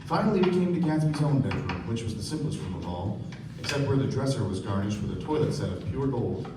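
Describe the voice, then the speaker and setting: A man's voice: medium pitch, lively intonation, a little fast, lightly acted. Distant echoing hall, noisy recording, a man playing polite wry young male narrator, radio drama. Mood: narrating, measured. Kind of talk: scripted narration in a live radio play.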